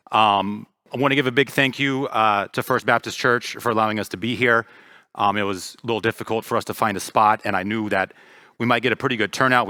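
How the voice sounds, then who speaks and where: A man's voice: lowish voice, pushed and bright, clean studio sound. A man in his thirties from Florida, giving a casual, friendly talk to parents as league president, with lots of ums and uhs, on a handheld mic in a church hall. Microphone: handheld microphone.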